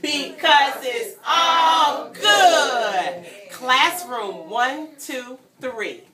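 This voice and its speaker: A woman reading a story aloud to young children in an animated, teacherly way, prompting them to join in and breaking into sung refrains. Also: sits high, extremely slow, noisy background. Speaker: guest story-time reader for young children. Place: indoor room at a childcare centre. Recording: echoey room, distant mic, children around.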